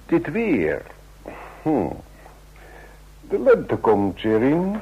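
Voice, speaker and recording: An actor playing a shrewd, easygoing president in an old radio drama, speaking formally and deliberately. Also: male voice, medium pitch, huge pitch swings, breathy edge, exaggerated voice. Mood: casual, musing. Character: shrewd, easygoing president of a large federation, a man. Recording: muffled old radio play recording.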